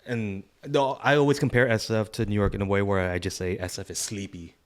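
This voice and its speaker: A man's voice: lowish voice, fairly even intonation, brisk. A man with an American accent chatting casually as a podcast co-host, in a slangy, informal way with free swearing. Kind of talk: casual conversational chat.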